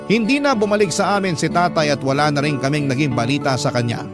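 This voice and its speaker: A Filipino man narrates a radio drama from a listener's letter. His voice is a little high for a man, and his storytelling is emotive and confiding. Guitar music plays clearly underneath. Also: fast.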